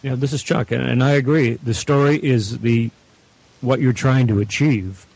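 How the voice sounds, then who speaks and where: An older man from Canada, a veteran producer, giving his view on a panel in deliberate, orderly phrases. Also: medium pitch, fairly even intonation, a little mellow, breathy edge, rough recording. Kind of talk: casual panel discussion.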